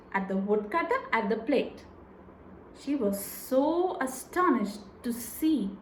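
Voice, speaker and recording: High-voiced woman telling a slow children's tale. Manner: deliberate, expressive, repeats phrases for effect. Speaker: children's storyteller. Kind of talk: slow dramatised folktale narration for children. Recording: echoey room with faint noise.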